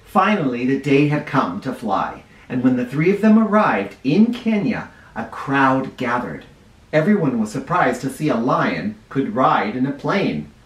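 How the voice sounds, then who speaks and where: Man, teacher reading a picture book to kids, lively up-and-down voice, roomy echo, a bit off-mic. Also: medium pitch, measured pace, recorded from a distance. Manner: expressive, stops to explain words to kids. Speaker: first grade teacher reading aloud. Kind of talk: children's read-aloud with asides.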